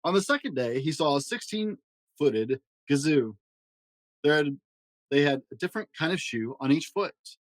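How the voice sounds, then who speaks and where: A man narrating a children's story aloud in an expressive, animated way with dramatic pauses. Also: a little high, dry recording. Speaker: podcast host reading a children's storybook aloud.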